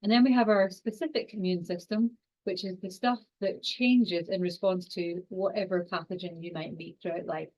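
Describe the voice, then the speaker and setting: A woman in her forties with a strong Scottish accent, giving an online science lecture quickly, with frequent fillers and restarts. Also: on the low side, fairly even intonation, a little mellow, low-quality recording. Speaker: university lecturer and exercise scientist.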